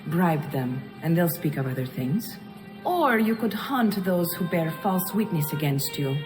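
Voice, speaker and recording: A woman playing a worldly Florentine advisor in a video game, calm and deliberate, with an Italian accent. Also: on the low side. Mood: cool, advising. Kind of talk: dramatic video game dialogue. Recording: music playing behind the voice.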